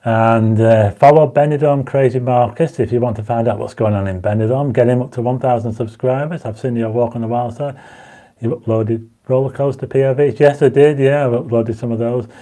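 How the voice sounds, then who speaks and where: A man hosting a casual livestream, reading out viewer comments and pushing for likes, his mouth dry and his voice going croaky. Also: mid-range voice, fairly even intonation, a little fast, mellow. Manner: reads viewer comments aloud, urges likes; enthusiastic when explaining stars. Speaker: livestream host. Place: home astronomy studio.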